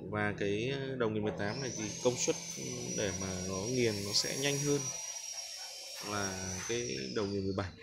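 A man with a Northern Vietnamese accent giving an informal, halting hands-on product demonstration as a seller, often repeating words. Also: mid-range voice. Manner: halting, repeats words while handling parts. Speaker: machine seller demonstrating grinder parts. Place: hard concrete floor workspace. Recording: loud clanking metal noise, handheld phone. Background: metal parts clinking and clattering.

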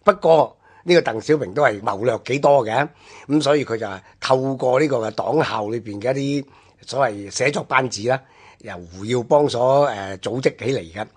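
A man's voice: medium pitch, normal speed, husky. A man with a Hong Kong Cantonese accent explaining things conversationally, as a commentator on a radio history programme.

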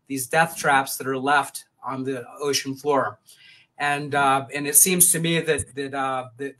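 A man in his forties giving a casual live update as a director, halting and often repeating words. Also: a little high, bright, forceful tone, rough recording. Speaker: director of ship operations. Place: hotel room. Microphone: webcam on a video call.

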